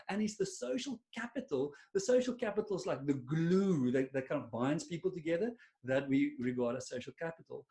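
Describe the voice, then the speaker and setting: A man's voice: fairly high pitch, darkish tone. A man with an Afrikaans South African accent presenting a webinar on a video call, chatty and self-deprecating, with many fillers and restarts. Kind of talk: online webinar talk to a silent audience.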